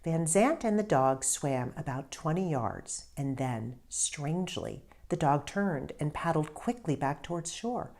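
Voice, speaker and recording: A woman author reading a story aloud in an expressive, deliberate narrating style with emphatic stresses. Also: low voice.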